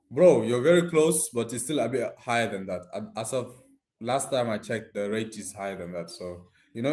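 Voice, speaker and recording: A man's voice: mid-range voice, darkish tone. Nigerian man chatting in an echoey room. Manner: informal, slangy, addressing viewers directly. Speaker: live streamer. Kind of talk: casual live chat with viewers.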